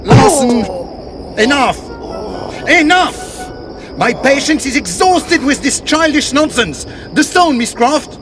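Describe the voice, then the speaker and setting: A man voicing a pompous, excitable French villain in a video game, theatrical and condescending, with mock courtesy. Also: very high voice, slow pace, music in the background, exaggerated voice, rough recording. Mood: exasperated, furious. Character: pompous, excitable French male villain, scheming treasure hunter. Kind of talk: video game cutscene voice acting.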